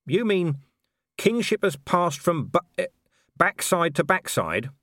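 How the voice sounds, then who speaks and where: A man's voice: high voice, sing-song, unhurried, fairly bright tone, no echo, clean studio sound, pitched above the performer's own voice, exaggerated voice. A man voicing a blunt, sceptical male commander in an audiobook, sardonic and exasperated in manner. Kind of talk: audiobook character dialogue.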